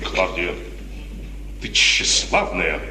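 A man's voice: low-pitched, big pitch swings, extremely slow, exaggerated voice. An actor playing a stern, proud, suspicious middle-aged father in a declamatory 1950s Russian radio drama. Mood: indignant. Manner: declamatory, emphatic. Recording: noisy, reverberant 1950s radio recording, distant.

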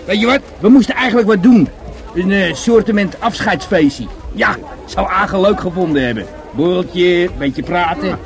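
An actor playing a sociable market trader, chummy and colloquial, in a Dutch radio play. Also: male voice, high-pitched, lively intonation, normal speed.

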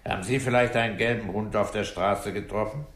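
A very low-pitched male voice in a roomy old radio recording. An actor plays a gruff police commissioner who questions in short, blunt phrases, unhurried and probing, in the manner of a 1960s German radio play. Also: steady tone, a bit bright, lightly acted. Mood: dry, unhurried. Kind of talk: 1960s German radio crime drama acting.

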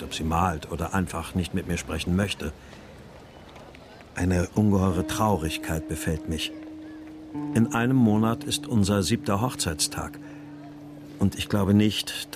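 An actor playing a melancholy husband and writer who narrates a radio crime drama in a restrained, introspective way. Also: male voice, sits low. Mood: sad, haunted. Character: melancholy husband and writer narrating his missing daughter's story.

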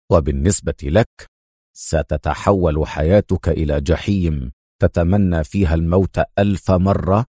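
A man voicing a cold, mocking, stern male secret agent in an audio story, speaking with unhurried, sardonic menace. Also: extremely low pitch, warm tone, no echo, clean studio sound, voice pushed down.

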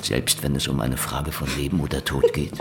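An actor playing a hard-boiled, world-weary private detective in a German radio play, dry and laconic in manner. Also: male voice, a bass voice, a little fast, music in the background. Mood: dry, insistent. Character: sardonic hard-boiled male private detective in the Soviet Union. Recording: radio play with clear ambient noise.

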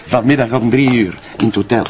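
An actor playing a dry, teasing police colleague in naturalistic radio drama style. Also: male voice, medium pitch, a little fast, background noise, lightly acted. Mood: matter-of-fact.

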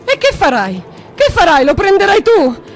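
A woman's voice: very high-pitched, wildly swooping pitch, moderate pace, very noisy, exaggerated voice. An actress playing a haunted, distraught woman in a radio drama, crying out repeated pleas in a wailing, theatrical way. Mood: frantic, terrified.

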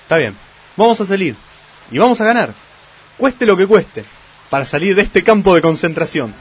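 An actor with an Argentine accent playing a whiny, incredulous young football player in a comic radio sketch. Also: male voice, high voice, sing-song, normal speed, slight background noise. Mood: defiant.

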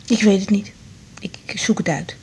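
Woman acting young doctor daughter, subdued n halting, medium pitch, 80s radio play, some noise. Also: fairly even intonation, a little mellow, close to an everyday voice. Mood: careful, grave. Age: young adult. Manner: hesitant, subdued. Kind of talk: 1980s Dutch radio drama acting. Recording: noisy 1980s radio drama recording.

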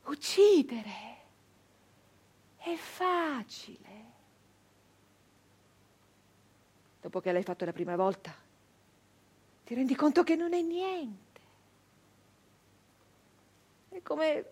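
An actress playing a fierce, bitter nineteenth-century female brigand in a dramatic theatrical monologue, intense and deliberate. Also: high-pitched. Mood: cold, chilling. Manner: intense, deliberate, with long pauses, swinging from hushed to outcry. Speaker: stage actress.

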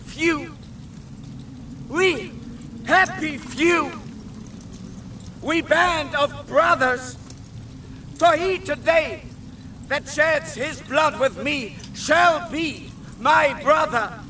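A man playing a warrior king, declaiming a rousing Shakespearean speech to his troops with deliberate emphasis. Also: extremely high pitch, sharp tone, exaggerated voice. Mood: rousing, fervent. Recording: distant voice over faint ambient noise.

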